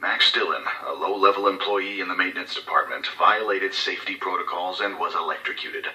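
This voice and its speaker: A man's voice: deep voice, a little fast, bright, forceful tone, echoey room, far from the mic, rough recording. An actor playing a cold, scheming male corporate executive who gives covert orders in formal, clipped, authoritative speech for a game audio log. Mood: cold, discreet.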